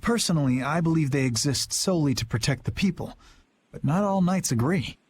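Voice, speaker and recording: A man's voice: a little high, expressive, brisk, a little hiss or hum, lightly acted. A man voicing a principled, earnest young knight in an anime game dub, formal and resolute. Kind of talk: English-dubbed anime game voice acting. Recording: music under the voice. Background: music.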